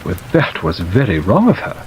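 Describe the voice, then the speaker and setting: A man's voice: sits low, slight background noise, rough recording. A man voicing a stern, forthright older woman in an audiobook, dry, precise and unsentimental. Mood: firm, dry. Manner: brisk, precise, firm, sharp. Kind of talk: audiobook character dialogue. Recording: steady rain sound over the reading.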